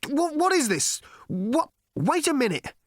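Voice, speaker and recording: A man's voice: extremely high pitch, sing-song, unhurried, no echo, studio quality, pitched above the performer's own voice, full character voice. A man voicing a helpless, desperate man in an audiobook, stammering and repeating himself. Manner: stammering, repetitive. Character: helpless, flustered, desperate man left stranded.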